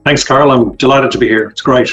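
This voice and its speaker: A man's voice: medium pitch, steady tone, fast, fairly bright tone, highs cut off, soft background music. A middle-aged man with a Dublin Irish accent, chatting as an interview guest in a rambling, hesitant way full of ums and restarts. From Ireland. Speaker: guest, company director. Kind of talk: casual interview chat.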